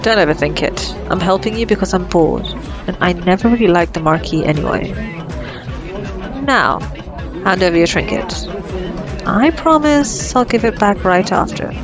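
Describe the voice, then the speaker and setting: A woman's voice: lowish voice, lively intonation, normal speed, over music. A woman playing a cocky, flirtatious female vampire in an ASMR roleplay, sardonic and playful. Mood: teasing, bossy. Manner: sardonic, playful, casually swearing. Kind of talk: ASMR roleplay audio drama.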